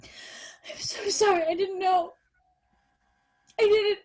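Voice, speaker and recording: An actress playing a desperate, grief-stricken mother in a horror screenplay read, with a halting, emotional delivery. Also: very high voice, fairly even intonation, background noise. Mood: sobbing, remorseful. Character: desperate, grief-stricken young mother fighting an unkillable killer.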